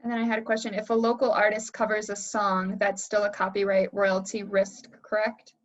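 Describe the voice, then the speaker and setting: A woman hosts a panel Q&A over an online call. Her voice sits at an average pitch, with informal, slightly hesitant phrasing. The audio is somewhat dull and muffled. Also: level tone, a little fast. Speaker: panel moderator. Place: remote video call.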